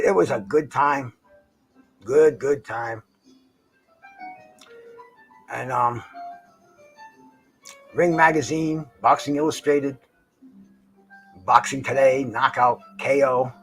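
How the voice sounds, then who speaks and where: High-pitched older man rambling over music. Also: poor audio. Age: 60s or older. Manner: slangy, profane, says "man" and "bro" often; halting, repeats and stammers on words. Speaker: live stream host. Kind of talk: rambling casual chat to livestream viewers. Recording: jazz music playing behind the voice. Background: jazz concert recording.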